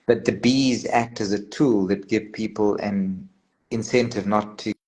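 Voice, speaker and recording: A man's voice: fairly low pitch, steady tone, measured pace, a little mellow. A man from South Africa, a meadmaker, talking casually on a video call, rambling with many restarts and "you know". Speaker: meadmaker guest. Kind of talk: casual online panel chat.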